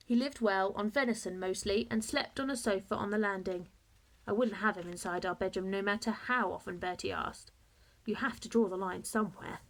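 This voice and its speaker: A woman reading a children's storybook aloud as a first-person narrator, in a measured, expressive way. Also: mid-range voice.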